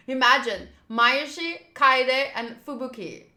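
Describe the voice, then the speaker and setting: A woman's voice: high-pitched, slow pace, pushed and bright, reverberant. A woman streaming a visual novel, reading the text aloud and breaking into chatty reactions as she goes. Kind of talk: playthrough commentary, reading on-screen text aloud.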